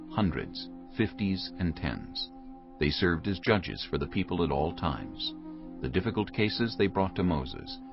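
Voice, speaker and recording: A man narrating scripture from a script in a measured storytelling manner. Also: low-pitched, creaky, lo-fi sound.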